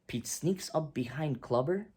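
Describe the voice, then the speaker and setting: A woman reading a children's picture book aloud in an animated, expressive way with emphatic swings and hushed drops. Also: low voice, unhurried, husky.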